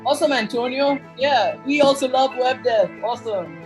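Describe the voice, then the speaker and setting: A man's voice: extremely high pitch, moderate pace, soft background music. Upbeat young man hosting a video-call workshop. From Kenya. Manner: upbeat, filler-heavy, repeats "awesome". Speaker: workshop host. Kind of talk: casual online workshop talk.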